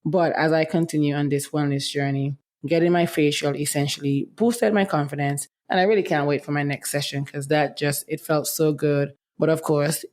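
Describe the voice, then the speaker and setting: A woman's voice: deep voice, darkish tone. A young woman hosting a casual solo podcast, talking in a candid, chatty way while her voice is affected by medication. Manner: candid, chatty, often says "let me tell you". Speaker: podcast host.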